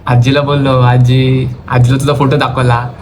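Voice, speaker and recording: A man's voice: mid-range voice, level tone, normal speed. Playful young Maharashtrian man, noisy recording. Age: young adult. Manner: playful, slangy, mixes in English words. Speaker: vlogger making a prank call. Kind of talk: casual teasing chat. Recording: clearly noisy phone-shot vlog audio.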